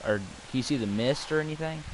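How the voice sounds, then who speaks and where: A man's voice: fairly high pitch, fast talker, noisy background. A fourteen-year-old boy chatting casually with viewers while playing a farming game, rambling and repeating words as he starts sentences. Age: teens. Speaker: gaming video host. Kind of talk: casual gameplay chat with viewers.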